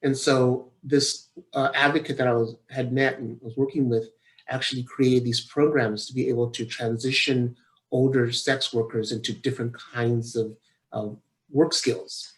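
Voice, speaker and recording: An American man talking conversationally in a webinar, sharing reflections and putting questions in a deliberate way. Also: mid-range voice, steady tone, low-quality recording.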